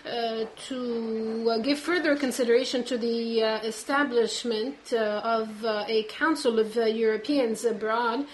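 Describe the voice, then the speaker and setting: Halting woman interpreter, higher voice. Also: fairly even intonation, a little hiss or hum, poor audio. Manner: halting, constant "uh" fillers between words. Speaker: conference interpreter. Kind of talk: simultaneous interpretation of a speech.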